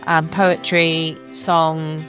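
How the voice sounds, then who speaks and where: A woman's voice: low voice, flat delivery, drawn-out words, fairly bright tone, soft background music. A woman hosting a podcast interview in a conversational style.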